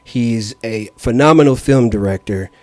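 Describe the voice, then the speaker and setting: A man hosting a podcast with a hoarse voice, giving an upbeat, rambling introduction. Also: mid-range voice, moderate pace, a little mellow, no echo. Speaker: podcast host.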